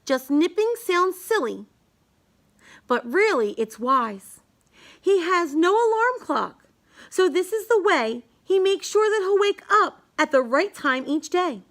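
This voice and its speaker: Casting: high-voiced woman, unhurried and very animated, for reading rhyming picture books aloud. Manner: sing-song, emphatic storytelling. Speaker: children's book reader. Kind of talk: read-aloud of a rhyming children's book.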